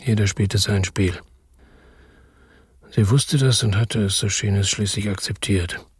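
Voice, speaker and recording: Husky, brooding crime-story hero. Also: male voice, lowish voice, steady tone, a little slow, no room sound, clean studio sound. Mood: grave, resigned. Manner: introspective, grave. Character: brooding, world-weary male narrator-hero of a crime story. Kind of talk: literary radio drama narration and dialogue.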